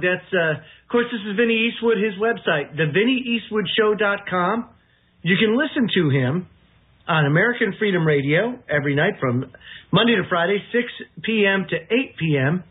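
A man hosts a talk radio interview with a high, light voice, agreeing briskly with his guest. His sound is squeezed through a narrow, phone-like line. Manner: agreeable, brisk, wrapping up with plugs. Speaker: radio host.

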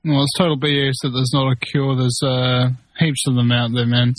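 A man's voice: mid-range voice, flat delivery, normal speed, poor audio. Earnest, opinionated male host. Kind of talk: talk radio chat.

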